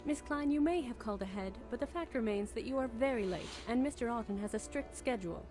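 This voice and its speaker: A man's voice: very high voice, animated, fast talker, music in the background, voice pushed up. A man playing a stern, formal woman assistant in a visual novel, prim, clipped and polite. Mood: curt. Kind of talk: visual novel character voice read-aloud.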